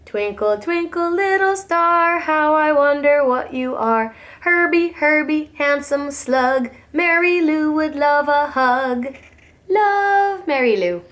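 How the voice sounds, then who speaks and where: Casting: woman plays adoring girl slug poet for a storybook, dreamy, high and very melodic, unhurried rhymes. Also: animated, fairly bright tone, full character voice. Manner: dreamy, singsong rhyming verse.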